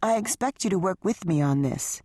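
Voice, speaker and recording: A woman voicing an exhausted, stressed wife who speaks in a pleading, strained way, a little higher than her narration. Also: lively intonation, normal speed, a little mellow, dry recording, studio quality, natural voice. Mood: upset.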